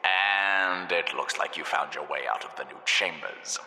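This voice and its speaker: An actor playing a gleefully sadistic, petty scientist narrating a video game test, theatrical and sarcastic, over a reverberant announcer microphone. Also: male voice, low voice, measured pace, heavily acted. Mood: wry, wistful. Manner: condescending, deadpan sarcasm breaking into indignant outbursts. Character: gleefully sadistic, petty mad male scientist supervising tests. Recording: echoey hall with long reverb.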